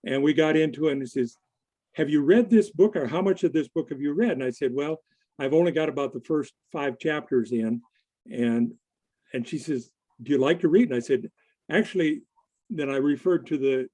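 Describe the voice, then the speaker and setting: A man giving a conversational presentation to a group in a halting, deliberate manner. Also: mid-range voice, warm tone. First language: English. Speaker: conference presenter. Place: online video meeting.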